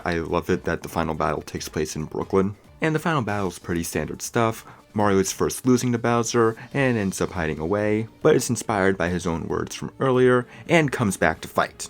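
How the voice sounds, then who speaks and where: A man giving opinionated film review commentary as a video host, stretching key words for emphasis. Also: on the low side. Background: music under the voice.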